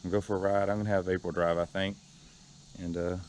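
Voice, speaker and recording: A man's voice: low-pitched, little pitch movement, quick pace. A man chatting casually for a car vlog in an informal, plain-spoken, slangy way. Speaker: car owner and vlogger showing his car. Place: in and around a car outdoors. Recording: loud vehicle and outdoor noise.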